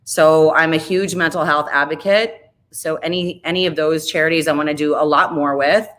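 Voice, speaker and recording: Bright-toned, candid woman radio host. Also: low voice, steady tone, moderate pace. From Chicago area, US. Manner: candid, rambling, self-deprecating. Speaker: radio host, interview guest. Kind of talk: casual interview chat.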